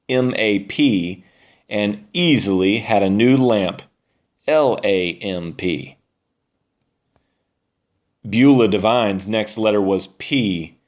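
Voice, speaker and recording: Slow-paced male storybook narrator. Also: on the low side. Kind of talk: scripted children's story narration.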